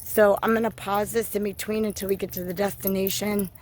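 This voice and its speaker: A woman with a hoarse voice from a cold, chatting casually as she hikes a wooded trail with her dogs, filling pauses with lots of ums. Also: mid-range voice, little pitch movement, a little mellow, a little hiss or hum. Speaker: hiking vlogger. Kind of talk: casual walking vlog.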